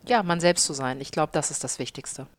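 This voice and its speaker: A woman in her thirties with a Hamburg accent, a chef and restaurant owner answering interview questions with lively enthusiasm. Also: low voice, brisk, no room sound. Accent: Hamburg German. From Hamburg, Germany.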